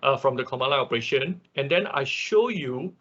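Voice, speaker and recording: A man with a husky, slightly rough voice teaches a technical online class in an informal way. He explains step by step and often repeats his phrases. His pitch is average, and the audio is dull and lo-fi, as on a class call. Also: lively intonation, brisk, a bit bright. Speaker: instructor of an online robotics class.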